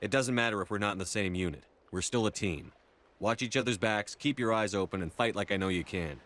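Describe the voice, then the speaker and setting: An actor playing a steady, decisive commander in a video game, terse and authoritative. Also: male voice, fairly low pitch, brisk, natural voice. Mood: reassuring. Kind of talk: video game cutscene acting.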